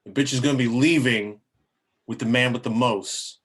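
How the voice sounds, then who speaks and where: A menacing gang boss, acted by a man in a script read. His pitch sits in the middle of the male range. He talks with profane, threatening swagger. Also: fairly even intonation, moderate pace, a bit bright, breathy edge. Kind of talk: amateur screenplay table read.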